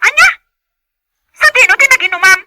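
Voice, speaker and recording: A woman's voice: extremely high pitch, expressive, normal speed, very noisy. A woman playing a bossy, nagging wife in an Ilocano audio drama, demanding in manner, with an Ilocano accent. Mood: angry nagging.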